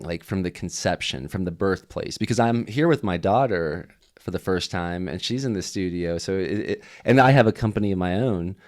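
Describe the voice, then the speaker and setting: A man hosting a casual interview, rambling with many restarts. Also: low-pitched. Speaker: podcast host.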